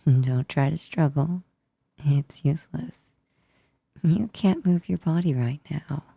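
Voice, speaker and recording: A woman playing a female sleep paralysis demon in an ASMR roleplay, softly and intimately into a close microphone, often whispering, by turns teasing, menacing and awkwardly tender. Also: low voice, exaggerated voice. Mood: sinister, teasing. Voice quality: soft, breathy, often whispering. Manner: slow, intimate, close-up delivery. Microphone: close, dry microphone.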